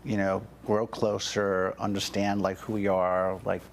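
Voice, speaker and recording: A man's voice: sits low, little pitch movement, measured pace, gravelly, slight background noise. A man telling stories as an interview guest, chatty and full of "you know" and "like". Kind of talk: casual storytelling.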